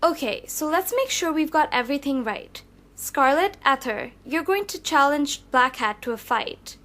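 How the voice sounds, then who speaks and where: A woman's voice: sits high, expressive, normal speed, a bit bright. A woman playing a cautious, protective young superheroine in an audio drama, with an emphatic, earnest delivery. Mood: resolute.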